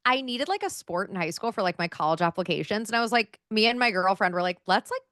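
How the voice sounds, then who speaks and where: A woman's voice: medium pitch, fast talker, a bit bright, no room sound. Chatty woman in her thirties, dry close mic. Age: 30s. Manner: chatty, filler-heavy, with frequent "um" and "like". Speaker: podcast co-host. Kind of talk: casual podcast chat, reading researched notes.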